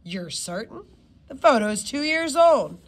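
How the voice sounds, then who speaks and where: A woman plays a composed male detective in a storybook. The voice is high and lively, with a polite, businesslike manner. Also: measured pace. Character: male British spy posing as composed police detective.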